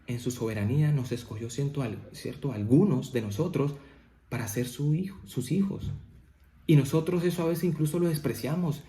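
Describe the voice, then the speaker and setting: A male preacher with a warm, mellow tone delivers a family devotional in a church. His voice carries through a reverberant room. He keeps asking "¿cierto?" and builds to emphatic peaks. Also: mid-range voice, moderate pace. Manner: repeats "¿cierto?" often, rises to emphatic peaks.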